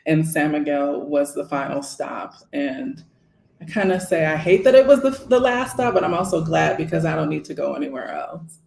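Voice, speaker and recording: A woman's voice: low-pitched, lively intonation, normal speed, creaky, darkish tone, echoey room, slight background noise. A forty-eight-year-old American woman from Northern California, telling her story casually as an interview guest.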